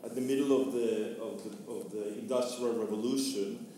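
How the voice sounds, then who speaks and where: Mellow man in a very echoey room. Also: fairly low pitch, steady tone, moderate pace. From Venezuela. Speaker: panelist, theatre artist discussing a play. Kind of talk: thoughtful discussion. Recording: distant mic, echoey room, faint noise.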